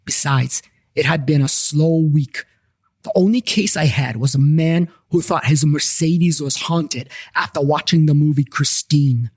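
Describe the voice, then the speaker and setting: A man's voice: fairly high pitch, measured pace, darkish tone, husky, no echo, studio quality. A man telling a scripted comic story in the first person, with wry, irreverent asides to the listener. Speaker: storyteller narrator and host of a paranormal investigations series. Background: plucked guitar music.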